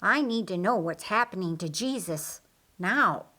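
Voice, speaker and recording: A woman's voice: medium pitch, animated, a little slow, bright, forceful tone. Woman reading as an anxious, insistent sister. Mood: pleading, insistent. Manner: earnest, probing questions. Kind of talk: audiobook character dialogue.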